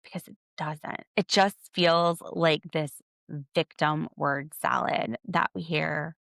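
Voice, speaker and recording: An American woman hosting a podcast, giving casual, opinionated commentary in an animated, sarcastic, slangy way. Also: low voice, slow, creaky, no echo, clean studio sound. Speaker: podcast host.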